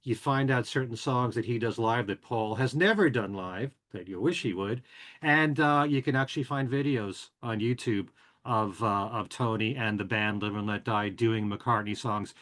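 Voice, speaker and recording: A man hosting a podcast, casually running through show news and plugs in a chatty, relaxed way with frequent filler words. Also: on the low side, normal speed. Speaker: podcast and radio host.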